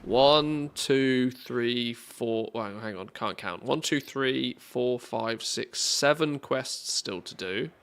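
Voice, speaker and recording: A man's voice: medium pitch, slow pace, hardly any pauses, fairly bright tone, smooth. Casual English man chatting on stream. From England, born in the northwest, lived mostly in the Midlands. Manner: jokey, self-deprecating. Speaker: game livestreamer. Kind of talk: casual livestream chat.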